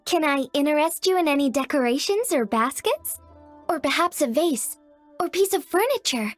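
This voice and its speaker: This is a cheerful young female artisan from a video game. She sounds sweet and eager, chatting in a high, light voice. Soft music plays faintly underneath. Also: expressive, moderate pace. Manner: sweet, upbeat sales patter.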